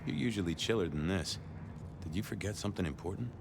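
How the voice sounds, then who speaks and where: A deep voiced wry detective in a game, casual banter, really noisy background. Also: male voice, lively intonation, fast talker, creaky. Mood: breezy, probing. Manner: wry, hesitant, informal. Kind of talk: video game voice acting. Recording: loud background noise.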